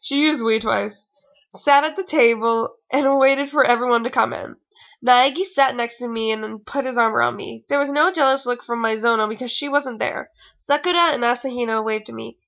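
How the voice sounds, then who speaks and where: A woman's voice: a little high, moderate pace, creaky, a bit bright, lo-fi sound. A young adult woman giving a mocking amateur fan fiction reading with rambling, exasperated, theatrical asides, sounding a bit tired. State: tired, sore nose.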